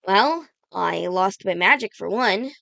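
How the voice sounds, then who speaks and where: A woman's voice: medium pitch, expressive, takes it slowly, creaky, bright, forceful tone, dry recording, exaggerated voice. A woman playing an earnest, guilt-ridden teenage girl in a cartoon comic dub, speaking in a sincere, dramatic way. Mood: remorseful.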